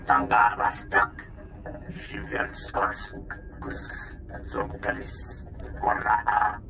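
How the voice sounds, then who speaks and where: A man voicing a hulking, menacing reptilian alien warrior in a video game, speaking a guttural invented tongue with a gravelly growl. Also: fairly low pitch, animated, extremely slow, noisy background, full character voice.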